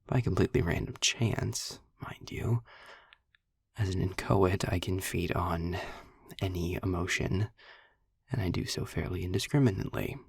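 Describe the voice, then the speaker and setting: Sarcastic male demon for intimate audio roleplay, played by a man: low, slow, darkly amused, deliberate storyteller. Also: no echo, full character voice. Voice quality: low, breathy, gravelly near-whisper. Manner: sarcastic, wordy, deliberate storytelling with dramatic pauses. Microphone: close microphone in a dry, quiet space.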